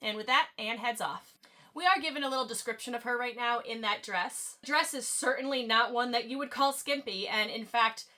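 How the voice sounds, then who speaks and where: Bright, nasal young woman with a sore throat. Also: on the high side, fairly quick. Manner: chatty, playful asides, stumbles when reading aloud. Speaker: book channel host. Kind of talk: casual chapter recap with read-aloud quotes. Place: at home, talking to camera.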